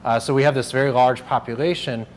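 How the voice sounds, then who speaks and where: A man's voice: medium pitch, steady tone, fast. Man moderating a workshop over room noise. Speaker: workshop host and panel moderator. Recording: noisy room recording.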